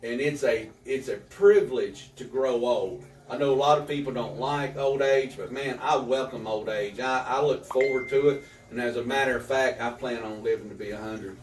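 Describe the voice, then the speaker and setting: A man's voice: mid-range voice, moderate pace, hardly any pauses. A man in his fifties, an author talking earnestly in a casual interview and often repeating words as he starts a thought. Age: 50s. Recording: echoey room, distant mic.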